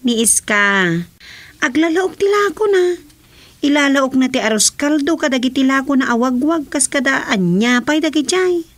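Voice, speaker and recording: Radio serial: disgruntled village vendor, Ilocano Filipino, high-pitched complaints, dry studio sound. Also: female voice, lively intonation, normal speed, no room sound. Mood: aggrieved. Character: disgruntled, complaining woman vendor gossiping about a rival. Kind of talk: comedy radio drama acting.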